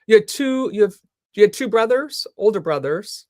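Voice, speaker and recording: A woman's voice: medium pitch, animated, moderate pace, mellow, no echo, studio quality. A woman hosting a live talk show, effusive and enthusiastic as she chats with her guest. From United States. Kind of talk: live interview chat.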